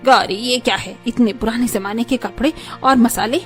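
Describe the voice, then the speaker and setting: A woman's voice: fairly high pitch, normal speed, soft background music, lightly acted. A woman voicing a snobbish, modern middle-aged mother-in-law in a cartoon, irritably dismissive. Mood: irritated. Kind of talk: cartoon voice acting.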